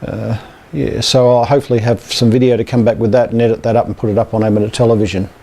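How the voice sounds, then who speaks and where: A man's voice: medium pitch, little pitch movement, fast talker, gravelly, warm tone. A man with an Australian accent giving a casual, hesitant, rambling update as an amateur radio club presenter.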